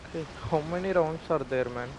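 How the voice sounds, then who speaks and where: A man's voice: a little high, fairly quick, background noise. A man streaming a game, giving casual, offhand live commentary. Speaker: gaming streamer.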